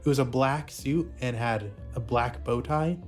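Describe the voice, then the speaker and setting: A man's voice: medium pitch, unhurried, a little mellow. Male video essay narrator, mid-range voice, informal commentary over faint music. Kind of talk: informal commentary on a puppet series.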